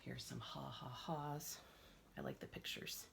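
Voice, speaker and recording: A woman's voice: extremely low pitch, measured pace, gravelly, heavy background noise. A woman librarian talking casually to children and reading a picture book aloud, expressively acting out sounds. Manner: expressive, acts out sounds and lines. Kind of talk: friendly casual talk and animated picture-book read-aloud. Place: basement at home.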